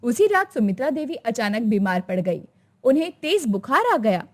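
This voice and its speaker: A woman's voice: a little high, very animated, normal speed. A woman narrator reading a scripted bedtime family story.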